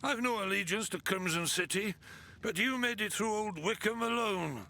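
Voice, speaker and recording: An actor playing a stern, weary military commander in a fantasy video game, curt, authoritative and sardonic. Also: male voice, sits high, normal speed, vocal fry, pushed and bright, full character voice. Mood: stern, businesslike.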